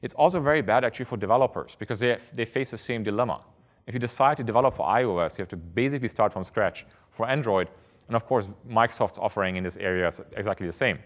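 Fast-talking man giving a keynote. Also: fairly low pitch. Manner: fast, earnest, repeats words when restarting. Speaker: keynote speaker. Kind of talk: conference keynote talk. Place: large conference hall on stage. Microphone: headset. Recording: reverberant hall.

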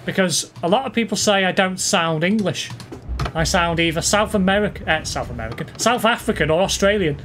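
A man's voice: high-pitched, fairly quick. A man from Wales with a mixed Manchester and Lancashire accent, chatting casually on a live stream while he plays a game and reads viewer comments aloud. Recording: steady noise behind the voice.

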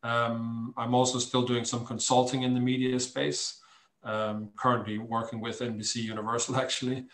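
A man's voice: medium pitch, little pitch movement, moderate pace, a bit bright. Middle-aged Danish man, echoey room. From Denmark. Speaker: interview guest, charity founder. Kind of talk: conversational interview storytelling. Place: small cluttered room at home, indoors. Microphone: computer mic on a video call.

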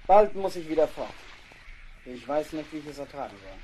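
An actor playing a sensitive, loyal young English naval officer in an audio drama, with a heartfelt, dramatic delivery. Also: male voice, fairly high pitch, big pitch swings, fairly quick, mellow, slight background noise, poor audio. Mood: sorrowful longing.

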